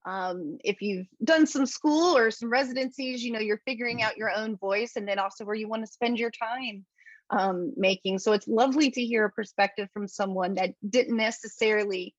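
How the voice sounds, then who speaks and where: A woman's voice: fairly high pitch, normal speed, dry recording. A woman hosting an interview, affirming and thoughtful as she responds to her guest, heard over a remote call. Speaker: interview host. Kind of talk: casual interview. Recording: dull dry lo-fi audio.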